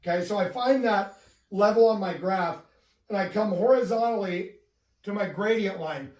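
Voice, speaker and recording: A man giving a deadpan, methodical mock lesson with casual profanity, recorded in a roomy, distant-sounding video. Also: high voice, normal speed. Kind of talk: deadpan instructional explanation.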